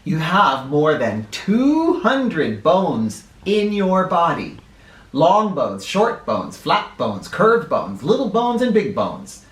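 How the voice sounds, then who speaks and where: Male children's book reader. Slow, lively sing-up-and-down delivery, instructive and direct, heard a little away from the mic. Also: on the high side, a little hiss or hum. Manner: expressive, instructive, addressing young listeners directly. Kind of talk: animated read-aloud of a children's picture book, with asides. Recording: roomy echo, distant from the microphone.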